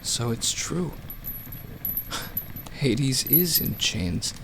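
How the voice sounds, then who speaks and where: A man plays a gloating god in a radio drama. He drawls very slowly with a gravelly creak, savoring every mocking jab, over noticeable background ambience. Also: medium pitch, big pitch swings, background noise, full character voice. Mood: gloating, amused. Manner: slow, taunting, sarcastic. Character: gloating, mocking male god, king among rivals. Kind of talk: radio play acting.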